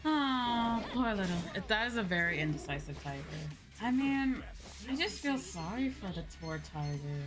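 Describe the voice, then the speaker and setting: A woman giving casual, rambling, soft-hearted live commentary as she streams a video game, speaking into a close mic. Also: mid-range voice, expressive, moderate pace, over music.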